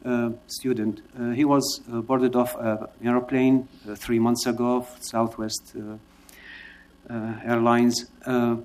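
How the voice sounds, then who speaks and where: A man who grew up in Iraq, giving earnest, halting testimony to a city council, with many pauses and filler sounds. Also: medium pitch, little pitch movement, a little mellow, slight background noise. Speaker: public testimony speaker. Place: council chamber.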